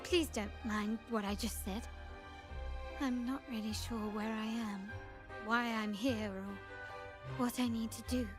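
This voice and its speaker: A composed young noblewoman warrior from a video game, with a fairly high voice and formal, measured delivery. Music plays clearly behind her. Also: steady tone. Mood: pensive, composed.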